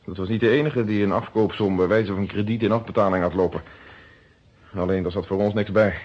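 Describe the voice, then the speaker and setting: An actor in a Dutch radio detective drama playing a weary, principled police inspector with an earnest, conversational delivery. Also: male voice, lowish voice, fairly even intonation, fairly quick, slight background noise, lightly acted. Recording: muffled old radio play recording.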